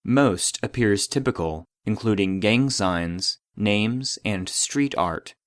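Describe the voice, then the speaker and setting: A man voicing an impersonal database, reading formal classified reports in a measured, clinical manner. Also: fairly low pitch, expressive, dry recording, studio quality. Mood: clinical, detached. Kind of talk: scripted narration of a formal report.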